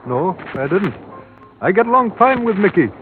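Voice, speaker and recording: An actor playing a wary, guarded club-owning police informer in a 1950s radio drama, talking in a clipped, evasive way. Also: male voice, high-pitched, sing-song, normal speed. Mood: guarded, brisk. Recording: muffled old radio recording with music.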